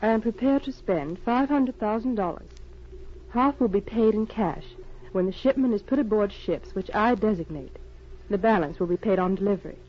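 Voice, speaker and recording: Woman as cool female spy, crisp and frank, vintage radio drama, dull noisy recording. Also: medium pitch, expressive, moderate pace, lightly acted. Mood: businesslike. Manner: crisp, frank, clipped sentences. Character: cool, businesslike female secret agent posing as arms buyer. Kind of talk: 1940s radio drama acting.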